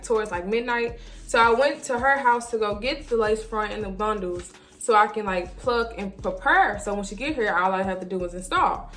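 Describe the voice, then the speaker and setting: A young woman telling a casual story to viewers in an animated way, acting out her own reactions and quotes as she goes. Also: on the high side. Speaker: vlogger.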